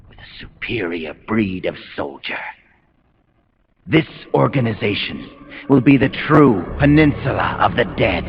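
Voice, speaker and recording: An actor with an American accent playing a calm, messianic military commander villain in a video game, speaking in solemn, grandiose, deliberate speeches. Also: male voice, low voice. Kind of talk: dramatic video game cutscene monologue. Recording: muffled game audio under loud music.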